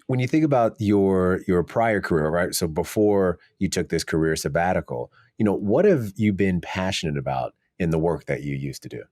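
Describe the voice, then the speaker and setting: A man's voice: deep voice, expressive, moderate pace, few pauses, darkish tone, no room sound, studio quality. A man hosting an interview podcast, talking in an easygoing way with casual fillers. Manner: easygoing, uses fillers like "you know". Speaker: podcast host. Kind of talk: conversational interview.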